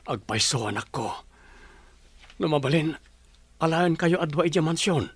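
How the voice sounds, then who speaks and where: A man's voice: on the high side, expressive, moderate pace, slightly rough, no echo. A man playing an earnest, coaxing wealthy father in an audio drama. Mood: earnest, tender. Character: earnest wealthy father reuniting with his young child.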